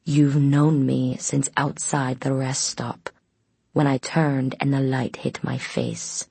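A woman voicing an eerie young male vampire in a novel, speaking deliberately with quiet, insistent menace. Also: very deep voice, little pitch movement, vocal fry, breathy edge, no room sound, full character voice.

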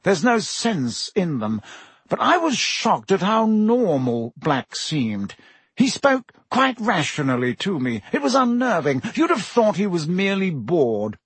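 A man voicing a pompous, anxious middle-aged male minister, fussy and earnest, with a husky voice. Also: high-pitched, fairly bright tone, no room sound, voice pushed up, heavily acted.

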